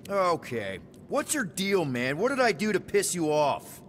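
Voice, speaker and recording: A man's voice: sits high, sing-song, a little slow, a bit bright, slight background noise, full character voice. A man playing a young, exasperated space-outlaw leader in a video game, talking in a casual, wry way. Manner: informal, wry. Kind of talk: conversational video game acting.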